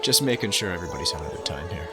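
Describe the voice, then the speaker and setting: A man's voice: low voice, lively intonation, very fast, over loud music, pitched below the performer's own voice. A man playing an affable, chatty fantasy priest who works his congregation with casual menace. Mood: genial, welcoming. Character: smooth, folksy deacon priest working his congregation.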